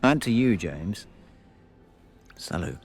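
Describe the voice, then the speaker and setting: A man playing a somber older spy mourning an old friend, speaking in a measured, reflective way in a cinematic game. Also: lowish voice, sing-song, heavily acted. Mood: wry tribute. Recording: music behind the voice.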